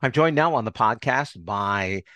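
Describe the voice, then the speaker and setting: A man's voice: medium pitch, lively intonation, a little slow, sharp tone, dry recording. An older man hosting an arts podcast, moving between interview conversation and scripted announcements. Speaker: podcast host.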